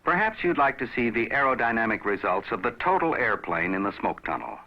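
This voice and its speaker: A man narrates a scripted educational film in a measured, instructive manner, addressing the viewer directly. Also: mid-range voice, very animated. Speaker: narrator of a pilot training film. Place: recorded voice-over. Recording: dull, aged film soundtrack.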